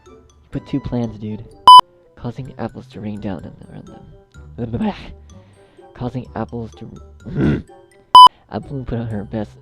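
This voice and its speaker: A man's voice: medium pitch, unhurried. A man narrating a story in outtakes, exasperated, restarting sentences and swearing at himself in asides. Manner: exasperated, self-berating, sweary. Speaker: narrator and editor. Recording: loud music over the voice. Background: loud music.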